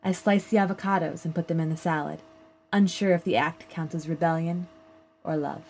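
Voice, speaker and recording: A woman reading a first-person literary short story aloud in a measured, plain storytelling manner. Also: low-pitched, fairly even intonation, darkish tone. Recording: clear background noise.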